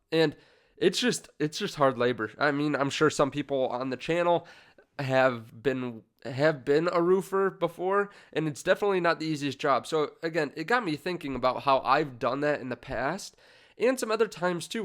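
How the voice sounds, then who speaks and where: Young man, stuffy congested voice, casual finance host, lots of uhs and restarts, soft music behind. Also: medium pitch. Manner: rambling, many fillers and restarts. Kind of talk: casual direct-to-camera talk.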